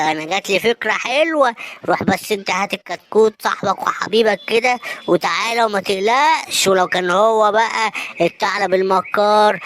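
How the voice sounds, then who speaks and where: A woman's voice: fairly high pitch, a bit bright, dry recording. A woman with an Egyptian accent voicing the lion king of the forest in a children's story, firm and lecturing. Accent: Egyptian Arabic. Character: wise lion king of the forest, stern but fair.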